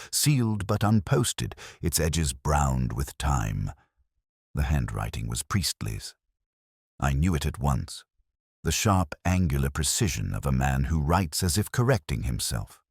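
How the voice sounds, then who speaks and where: A man giving scripted first-person detective narration in a measured, reflective, solemn manner. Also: extremely low pitch, very animated, vocal fry, darkish tone, husky, no echo, studio quality.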